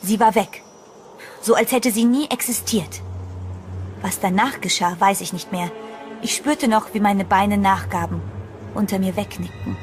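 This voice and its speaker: A woman's voice: mid-range voice, a little slow, long pauses, natural voice. An actress playing a frightened young woman who narrates her ordeal in a horror audio drama, dramatic and suspenseful. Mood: tense, suspenseful. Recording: music behind the voice.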